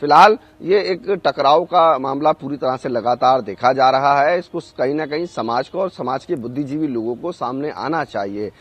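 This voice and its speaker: A man giving an unscripted live news report as a correspondent, halting often to search for words. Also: mid-range voice, husky, dry recording, muffled recording.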